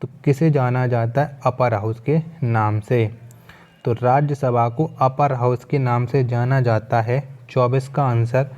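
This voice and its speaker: Male exam-prep teacher, relaxed dark tone, mid pitch, repeats each question and answer for learners. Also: a little slow, muffled recording. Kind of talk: quiz lesson, reading questions and answers.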